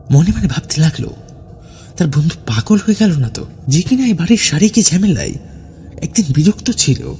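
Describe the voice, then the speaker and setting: A man narrating a scripted horror story in a dramatic, suspenseful, expressive way. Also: fairly high pitch. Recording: faint steady background noise.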